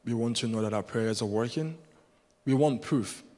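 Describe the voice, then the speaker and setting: A man's voice: medium pitch, normal speed, a little mellow. A man whose first language is Yoruba, preaching a sermon as a guest preacher in an earnest, rhythmic way that builds with repetition. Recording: long hall reverb.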